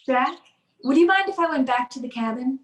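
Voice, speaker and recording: Woman acting scared wife in a radio play, higher voice, pleading and anxious. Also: lively intonation, a little fast. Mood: uneasy. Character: frightened young wife convinced her husband will kill her. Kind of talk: old-time radio drama acting.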